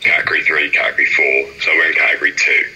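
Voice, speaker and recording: A teenage young man with a very deep voice, bright and forward in tone. He talks in a relaxed, chatty way, trailing off with "so", over a faint hiss. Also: very fast, poor audio. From England. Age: teens. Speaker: youth footballer, guest. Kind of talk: casual interview.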